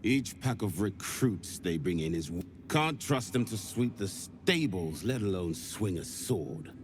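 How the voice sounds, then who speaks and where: Gruff cult officer, low voice, faint hiss. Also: male voice, animated, a little slow. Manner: curt, deferential to superiors.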